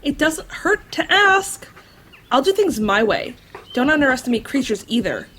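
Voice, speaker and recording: A woman's voice: high voice, sing-song, moderate pace, a bit bright, slight background noise. A woman playing an earnest, caring green Martian who is eager to help, in an audio drama. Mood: worried, insistent. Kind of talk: audio drama character acting.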